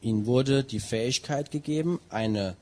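A man's voice: medium pitch, moderate pace, darkish tone. A man interpreting a sermon line by line, who also reads a scripture passage aloud. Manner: translates line by line, with some fillers and restarts.

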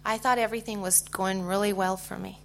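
A middle-aged woman with an American accent giving a personal testimony in short phrases, pausing for an interpreter. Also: mid-range voice, steady tone, gravelly, fairly bright tone. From United States. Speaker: guest speaker giving testimony.

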